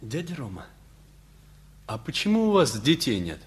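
An actor playing a teenage nephew and new student in a radio comedy, measured, bookish and ironically formal. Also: male voice, medium pitch, wildly swooping pitch, slight background noise. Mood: insolent, ironic. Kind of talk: Russian radio comedy play acting.

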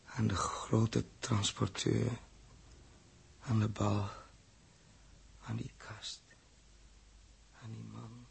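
An actor playing a tormented, guilt-ridden, feverish man in a 1960s radio drama, halting and repeating his words. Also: fairly low pitch, flat delivery, vocal fry, husky, full character voice. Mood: haunted, fearful.

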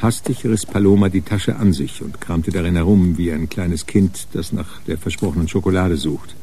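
A man's voice: sits low, moderate pace, warm tone, slight background noise. A man narrating a radio crime drama from a script, as a sober storyteller.

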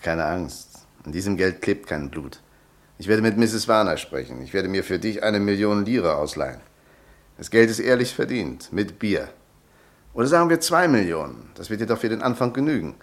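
Einen schroffen, großzügigen Freund. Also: male voice, on the low side, very animated, normal speed. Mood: blunt. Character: gruff wealthy friend, blunt but generous.

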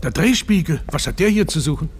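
A man acting in a radio drama as a grave, determined gentleman, earnest and commanding. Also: fairly high pitch, lively intonation, brisk, slightly rough. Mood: uneasy. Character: grave, determined gentleman, loyal friend of the doctor.

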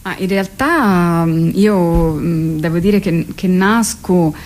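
A woman guest in a radio interview, speaking reflectively and haltingly as she searches for her words. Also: fairly low pitch, a little mellow. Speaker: radio guest, actress and poet.

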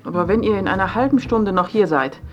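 A woman's voice: medium pitch, lively intonation, a little fast, over music, close to an everyday voice. An actress playing a stern, exasperated ex-girlfriend in a radio drama, with a cutting, sarcastic manner. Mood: cold, cutting.

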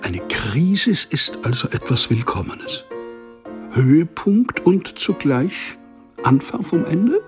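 A self-assured court official and father in a radio drama. His delivery swings widely in pitch, opinionated and emphatic, with prominent music behind him. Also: sing-song, slow. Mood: brisk, opinionated. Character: blunt, self-assured male court secretary, a middle-aged father. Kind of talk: German radio drama acting. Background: instrumental music.

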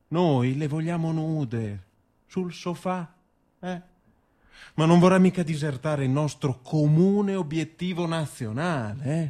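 An actor playing a bullying Fascist interrogator in a radio drama, sarcastic and insinuating, needling with tag questions in speech. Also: male voice, on the high side, a little mellow. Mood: quietly menacing.

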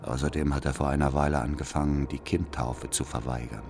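An actor playing a devout, calculating church spy in a radio drama, reading out his reports in a formal, deliberate, deferential manner. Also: a bass voice, level tone. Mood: deferential, watchful. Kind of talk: radio drama letter monologue. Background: faint music.

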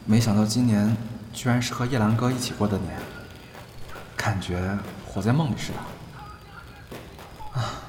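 A man playing a polite, shy, devoted younger colleague in a Chinese audio drama, speaking softly, hesitantly and deferentially. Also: fairly low pitch, normal speed, long pauses, background noise, natural voice. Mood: wistful, hopeful. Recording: roomy echo, music behind the voice.

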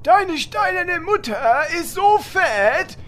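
A man playing a cheeky, brash little hero who mocks loudly in a fantasy parody audio drama. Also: very high voice, very animated, slow pace, exaggerated voice. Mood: taunting. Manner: loud, mocking taunts.

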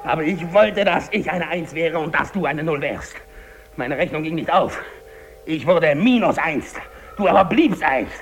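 A man's voice: on the high side, lively intonation, moderate pace, a little hiss or hum. A male actor playing a lone, dying English polar explorer in a 1950s German radio drama monologue, theatrical, defiant and despairing, moving between muttering and declaiming. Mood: bitter, invoking.

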